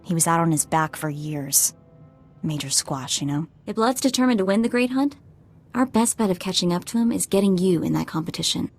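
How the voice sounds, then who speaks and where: A determined young woman working as a bounty hunter's handler in a game drama. Her voice sits low, earnest and urgent, carrying grief, with soft music behind it. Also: expressive, fairly quick. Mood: urgent, resolute. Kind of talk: video game voice acting.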